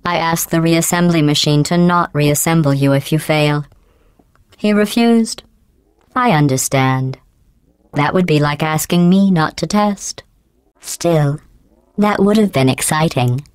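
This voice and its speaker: An actress voicing a cold, passive-aggressive female AI supervisor in a video game, in a deadpan, sarcastic, mock-polite manner through a robotic voice filter. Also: low voice, smooth, dry recording. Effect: robotic voice processing.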